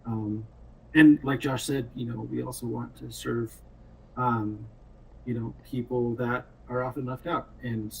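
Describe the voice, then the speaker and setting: A man speaking casually and reflectively as a game developer on a panel, in halting phrases. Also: mid-range voice, fairly even intonation, vocal fry, slightly rough. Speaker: game director and programmer. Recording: distant mic with faint noise.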